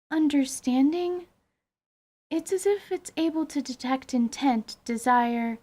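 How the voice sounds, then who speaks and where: Fairly high voice, woman acting a female mage teacher, earnest, bookish, precise, fantasy radio theatre. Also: warm tone. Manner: precise, explanatory, formal wording. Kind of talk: dramatized tabletop role-playing audio drama.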